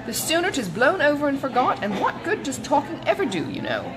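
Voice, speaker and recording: Woman voicing a gushing, excitable older gossiping matron. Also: high-pitched, a little fast, over music, voice pushed up, low-quality recording. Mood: emphatic. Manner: gushing, exclamatory, rambling.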